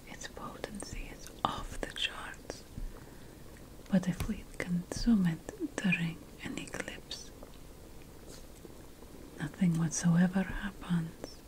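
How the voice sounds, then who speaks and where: A woman playing an ancient witch priestess, a patient and lofty potion scholar, in a hushed, deliberate ASMR fantasy roleplay. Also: on the low side. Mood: hushed, marveling. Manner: hushed, deliberate, lingering over lists.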